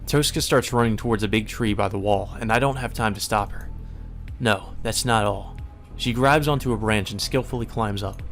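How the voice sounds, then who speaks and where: A man with a fairly low voice reads a visual novel aloud for a let's play. His delivery moves between narration and informal, casual commentary. Faint music plays behind him. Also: moderate pace, fairly bright tone. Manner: informal, swears in asides. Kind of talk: narrated read-through with casual side comments. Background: background music.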